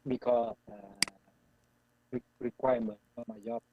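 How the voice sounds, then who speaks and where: A man in his forties learning English on a video call, speaking haltingly with many pauses and restarts. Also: mid-range voice, level tone, narrow, tinny audio, background noise. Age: 40s. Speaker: student. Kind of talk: online English lesson.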